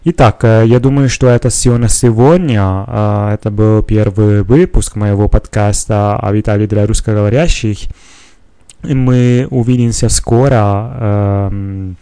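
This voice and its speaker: A man's voice: on the low side, fairly even intonation, measured pace, dark tone, clean tone, dry recording. A man from Italy with an Italian accent, a non-native speaker hosting a solo podcast, talking hesitantly with many filler sounds. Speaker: podcast host. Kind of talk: solo podcast monologue in a learned language.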